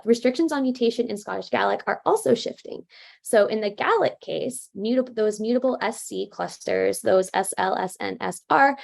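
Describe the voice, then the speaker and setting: A woman's voice: mid-range voice, creaky. Casual woman giving a conference talk. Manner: casual, many fillers and self-corrections. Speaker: conference presenter.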